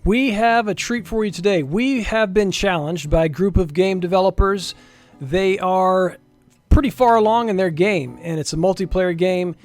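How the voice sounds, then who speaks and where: Male developer, fairly high voice, informal and folksy screen-share walkthrough, guitar music behind him. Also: moderate pace, no echo. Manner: informal and folksy, talks viewers through each step. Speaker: software developer presenting a demo. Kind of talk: casual screen-share tech demo narration.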